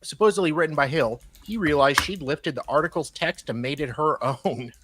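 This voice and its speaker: A man co-hosting a live show, reading documents aloud in a halting way with casual, joking asides. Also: fairly high pitch, background noise. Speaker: co-host.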